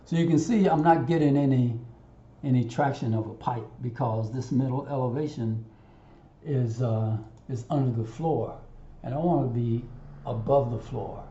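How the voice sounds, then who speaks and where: A man giving an unscripted software tutorial over a screen recording, haltingly thinking aloud and talking to himself as he works. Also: mid-range voice. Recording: roomy, muffled, audible background noise.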